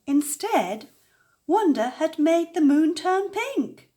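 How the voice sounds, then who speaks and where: An older woman telling a children's story aloud with expressive narration. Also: very high voice, slow. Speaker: storyteller, grandmother reading aloud.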